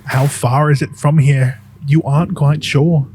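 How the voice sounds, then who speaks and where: A man's voice: on the high side, steady tone, unhurried. A man narrating a scripted audio drama in the second person, telling the listener what they do and feel. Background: music.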